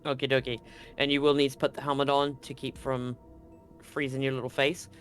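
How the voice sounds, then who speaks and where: A man's voice: medium pitch, little pitch movement, brisk, a bit bright, soft background music. A man narrating a tabletop game as game master in an informal, joking way.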